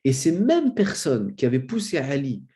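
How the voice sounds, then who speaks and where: A man with a cold giving a spoken lecture, telling a story with many fillers and restarts and rising in pitch for emphasis. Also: huge pitch swings, moderate pace, mellow. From France. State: has a cold. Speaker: lecturer on religious history.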